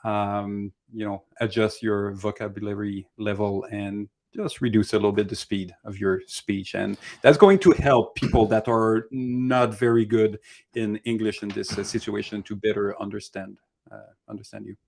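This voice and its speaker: A man's voice: lowish voice, little pitch movement, normal speed, gravelly, a little mellow. A man with a French Canadian accent, a native French speaker, co-hosting a casual live Q&A and hesitantly searching for words. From Montreal, French Canada. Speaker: Q&A co-host.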